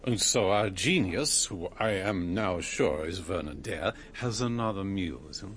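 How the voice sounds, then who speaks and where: A man's voice: low voice, animated, a little slow, fairly bright tone, a little hiss or hum. An actor playing an inquisitive, wry man who probes with arch, florid questions in a radio drama. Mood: wry, probing. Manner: arch, ends with prompting questions. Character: inquisitive, wry male interrogator, florid and probing. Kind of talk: broadcast radio drama acting.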